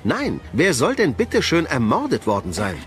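An actor playing a stiff, pompous, easily irritated hotel receptionist in an audio drama. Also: male voice, on the high side, wildly swooping pitch, moderate pace. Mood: indignant. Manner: formal, pompous, clipped.

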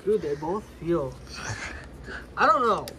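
A man's voice: on the high side, sing-song, slow pace, bright, forceful tone. A young man chatting casually and unscripted as he co-hosts a home gadget review. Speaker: co-host of gadget review vlog. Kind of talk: casual unscripted chat while unboxing. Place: small carpeted room in a house. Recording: noisy handheld home recording.

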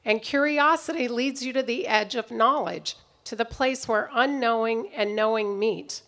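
A middle-aged woman giving a prepared commencement speech at an outdoor podium microphone, in a measured, reflective way. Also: fairly high pitch, fairly bright tone. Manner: measured, reflective delivery reading from notes. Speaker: commencement speaker. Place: outdoor ceremony stage.

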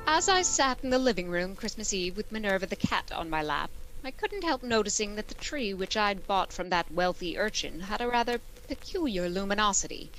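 A woman playing a sardonic high school English teacher with dry, deadpan delivery in an old-time radio comedy. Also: a little hiss or hum, low-quality recording. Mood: wistful, drowsy.

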